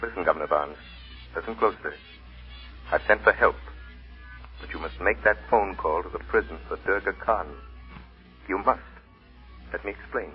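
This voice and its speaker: An actor playing a mysterious, resolute crime fighter with a measured, intense manner in 1940s radio drama style. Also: male voice, deep voice, natural voice. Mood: hushed, reassuring. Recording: dull old radio recording, music behind.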